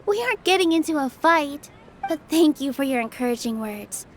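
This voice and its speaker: An actress voicing a gentle, earnest young woman dancer, shy but brave, speaking softly and politely in a video game scene. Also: sits high, lively intonation, normal speed, a little hiss or hum. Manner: soft, hesitant, polite. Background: music.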